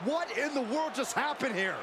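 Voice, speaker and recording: A man giving excited, partisan color commentary on wrestling. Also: extremely high pitch, moderate pace. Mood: incredulous. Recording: noisy, loud arena crowd.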